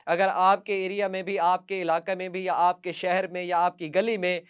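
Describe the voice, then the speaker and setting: A man's voice: high-pitched, flat delivery, moderate pace, clean tone. A man with a Pakistani accent presenting news commentary straight to viewers, with emphatic, repetitive listing.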